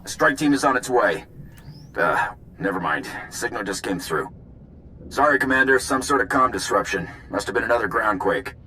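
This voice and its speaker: Sharp-voiced mercenary radio operator. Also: male voice, mid-range voice, animated, normal speed, slight background noise. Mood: apologetic. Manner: military radio report. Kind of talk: video game cutscene acting.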